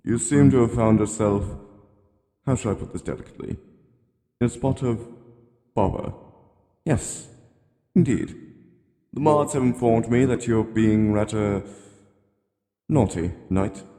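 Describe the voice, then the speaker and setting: A man voicing a genteel, rambling elderly animatronic hippo from a game, politely ominous and gently admonishing in a slow, courtly monologue. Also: medium pitch, natural voice. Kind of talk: scripted character monologue. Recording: long cavernous reverb.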